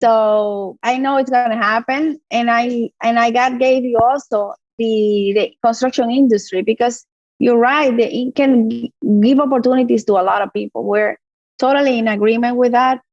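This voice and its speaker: A woman's voice: a little high, fairly even intonation, moderate pace, darkish tone, no room sound, dull audio. A woman hosting a podcast interview, encouraging and asking strings of rephrased questions. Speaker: podcast host. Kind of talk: casual interview conversation.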